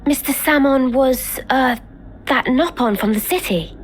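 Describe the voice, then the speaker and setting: High-voiced, earnest young soldier. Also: female voice, a little slow, a little hiss or hum. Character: earnest young woman soldier, apologetic yet resolute. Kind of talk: video game cutscene acting.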